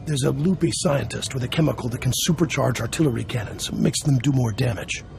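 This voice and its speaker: An actor playing a jovial, scheming smuggler boss in a video game, gravelly and persuasive. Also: male voice, medium pitch, brisk, background noise. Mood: upbeat persuading. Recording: faint music behind the voice.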